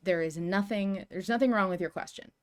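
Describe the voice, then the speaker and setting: A woman's voice: medium pitch, a little fast. A woman hosting a podcast in a casual fan discussion, explaining things carefully and with emphasis. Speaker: podcast host.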